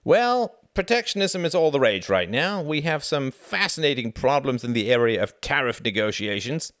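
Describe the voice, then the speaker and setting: A man's voice: medium pitch, sing-song, moderate pace, fairly bright tone, no echo, studio quality, voice pushed up. A man voicing a jovial, teasing young British diplomat in a storybook, with a hearty, exclamatory and persuasive manner. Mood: breezy, enthusiastic.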